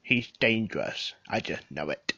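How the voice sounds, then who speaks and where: An actor playing a suspicious murder suspect in an audio drama, with a gravelly, raspy voice. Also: sits low, lively intonation, unhurried, pushed and bright, no room sound, heavily acted. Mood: agitated, accusing. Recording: dull, lo-fi, dry.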